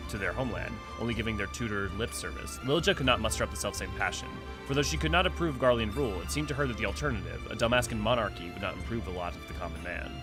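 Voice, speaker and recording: A low-voiced man hosts a casual lore commentary, thinking aloud with fillers and self-corrections as he reads descriptions. Background music runs steadily beneath his voice. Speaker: lore channel host.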